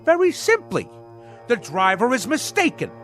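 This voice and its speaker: A man's voice: very high-pitched, huge pitch swings, moderate pace, a bit bright, full character voice. An actor playing a pompous, impatient, sarcastic businessman in a radio play. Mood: indignant. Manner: haughty, sarcastic. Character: pompous, impatient businessman stranded among bus passengers. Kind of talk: dramatic radio play acting. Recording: radio play with music underneath.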